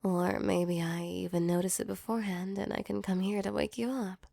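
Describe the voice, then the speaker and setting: A woman voicing a gentle, protective female demon in a soft, soothing ASMR comfort roleplay. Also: medium pitch, level tone, moderate pace, vocal fry, no room sound, studio quality. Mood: sympathetic, caring. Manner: soothing, tender, reassuring.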